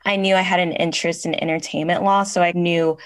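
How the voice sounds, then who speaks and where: A woman's voice: on the low side, steady tone, brisk. Young woman, friendly and matter-of-fact. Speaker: law student guest.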